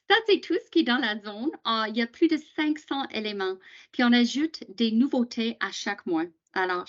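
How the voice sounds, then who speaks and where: A woman's voice: medium pitch, fairly bright tone, lo-fi sound. A woman whose first language is English, presenting a screen-shared webinar for teachers in a chatty way with many fillers and questions to attendees.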